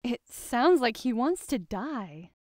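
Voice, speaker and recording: A woman's voice: on the high side, very animated, slow, a little mellow, no room sound, clean studio sound. A woman acting a composed, encouraging senior attorney in a game fandub. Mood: calm, curious.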